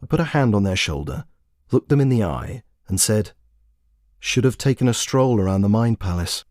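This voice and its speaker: A man's voice: lowish voice, animated, moderate pace, darkish tone, dry recording, clean studio sound, natural voice. A man voicing a smug, pedantic, self-admiring traveller in a scripted comic audio monologue, pompous and precise. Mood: self-satisfied.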